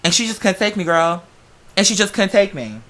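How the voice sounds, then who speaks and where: Sassy man with a high, bright voice. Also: lively intonation, a little fast. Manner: sassy, slangy, profane, theatrical. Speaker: vlogger. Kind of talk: casual storytelling to camera.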